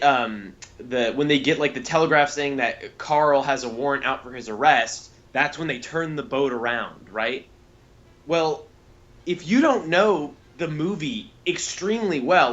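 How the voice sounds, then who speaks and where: A man's voice: on the high side, a bit bright. A man co-hosting a podcast, giving casual critique in a rambling, emphatic way on slightly lo-fi audio. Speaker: podcast co-host and reviewer.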